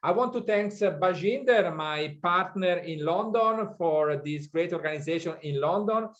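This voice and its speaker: A man hosting a workshop from Sydney over a video call, speaking haltingly with many fillers and non-native phrasing. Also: sits high, a bit bright. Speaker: workshop host. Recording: dull lo-fi call audio.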